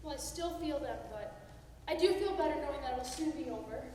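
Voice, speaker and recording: A woman's voice: sits high, lively intonation, fairly quick, warm tone. An actress on stage playing an anxious young woman who feels haunted, speaking earnestly and nervously. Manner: earnest, nervous, then defiant. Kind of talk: amateur stage acting. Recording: echoey hall from far off, noisy.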